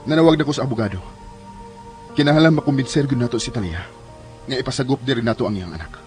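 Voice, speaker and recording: A man playing a calm, doting father who persuades gently in a radio drama, with a Filipino accent. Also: medium pitch, quick pace, lightly acted. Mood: persuasive. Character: calm, doting young father plotting to keep a baby. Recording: radio drama with very loud music underneath.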